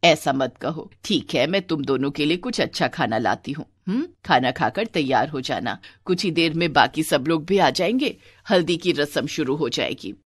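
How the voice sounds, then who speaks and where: Woman acting a soft, low-toned patient mother. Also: animated, normal speed, few pauses, breathy edge, no room sound. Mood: placating. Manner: soft, placating, pleading. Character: gentle worried middle-aged mother, patient and meek.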